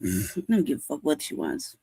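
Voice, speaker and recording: A woman's voice: low-pitched, wildly swooping pitch, normal speed, gravelly, warm tone, breathy edge. A woman hosting a show, talking in blunt, profane, rambling commentary and laughing often. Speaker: show host.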